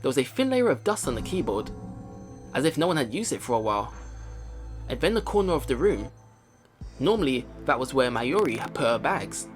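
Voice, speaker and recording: A man's voice: mid-range voice, sing-song, fairly quick, long pauses. A man hosting a let's play, reading game narration aloud and reacting casually. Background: game music.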